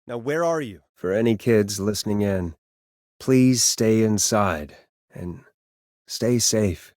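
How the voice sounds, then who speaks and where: A man's voice: on the low side, lively intonation, slow, darkish tone, no echo, clean studio sound. A man voicing a video game character, a harried but kind late-night radio DJ who is wry and reassuring and sometimes stammers. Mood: urgent, reassuring. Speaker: radio host. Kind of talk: video game voice acting, conversational radio patter.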